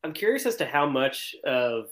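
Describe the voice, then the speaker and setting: A man's voice: mid-range voice, big pitch swings, normal speed, fairly bright tone. A man speaking as a panelist in a casual sports podcast discussion.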